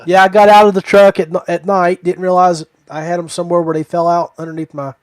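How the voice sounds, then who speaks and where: A man's voice: high voice, little pitch movement, quick pace, no room sound. A man hosting a podcast in casual chat, with a folksy way of addressing his listeners. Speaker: podcast host. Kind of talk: casual chat about fishing gear.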